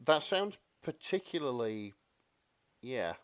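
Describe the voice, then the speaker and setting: A man with an average-pitched voice chats as a podcast co-host. He reacts informally to what is being read and swears freely. The recording is dry and free of room sound. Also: sing-song, slow pace, clear, resonant tone, no room sound. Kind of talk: casual chat, reacting to a story.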